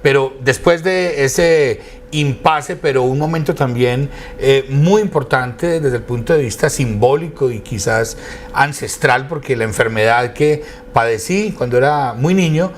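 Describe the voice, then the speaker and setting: A man's voice: mid-range voice, unhurried. Eloquent Colombian man over background noise. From Quindío, Colombia. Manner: eloquent, formal, elaborate phrasing. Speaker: interview guest, academic and radio station director. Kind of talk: conversational storytelling in an interview.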